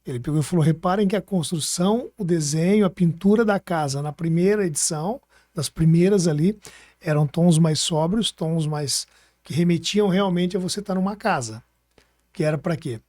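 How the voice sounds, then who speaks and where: A man's voice: a little high, normal speed, a little mellow, dry recording, clean studio sound. A man with a Brazilian Portuguese accent chatting informally as a podcast host, thinking aloud as he goes. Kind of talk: casual podcast conversation.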